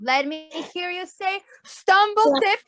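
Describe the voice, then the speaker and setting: A woman's voice: very high-pitched, slow pace, sharp tone, no room sound, studio quality. A woman telling a story to a class of children in a theatrical, exaggerated way, repeating phrases as a teacher does. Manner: gasps for dramatic effect.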